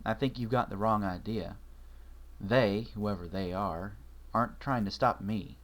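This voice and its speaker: A man reading a calm, wry man, low and measured. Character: calm, wry man comforting his friend.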